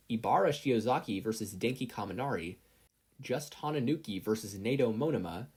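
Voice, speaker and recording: Lively female story narrator, slightly husky. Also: extremely low pitch. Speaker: podfic reader.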